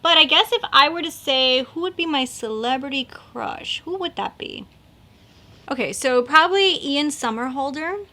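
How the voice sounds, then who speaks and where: A woman's voice: sits high, animated, normal speed, pushed and bright. A woman in her thirties chatting casually while doing her makeup, rambling with lots of likes and fillers. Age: 30s. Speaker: beauty vlogger.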